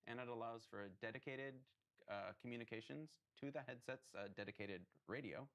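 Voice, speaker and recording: A man's voice: medium pitch, a little fast, fairly bright tone. A man answering interview questions about a product he helped build, explaining technical details step by step. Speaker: hardware developer interviewed.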